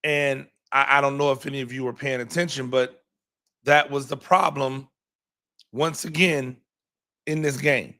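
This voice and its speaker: A hoarse man hosting a live postgame football reaction show, rambling and repeating words, with many ums. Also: mid-range voice, fairly even intonation, a little slow, long pauses, pushed and bright. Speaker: podcast host and high school football coach.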